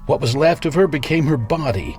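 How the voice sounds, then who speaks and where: A man's voice: a little high, animated, a little mellow, soft background music, lightly acted. A man playing a domineering, menacing scientist tycoon in a radio drama, speaking in an imperious, sardonic way. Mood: ominous storytelling. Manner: imperious, deliberate storyteller, sardonic.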